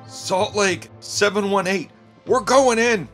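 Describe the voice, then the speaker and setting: A man plays a panicked airline pilot calling over the radio in a high, strained pitch. The words come slowly and urgently, with music behind. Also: a bit bright. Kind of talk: dramatized radio transmission.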